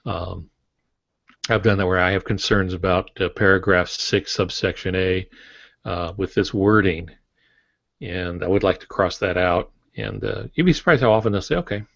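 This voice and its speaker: An American man in his sixties giving plain-spoken, halting advice in a conversational podcast style on a video call. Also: sits low, creaky, fairly bright tone. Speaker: guest author and publishing expert. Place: home office, indoors. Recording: dull, roomy video-call audio.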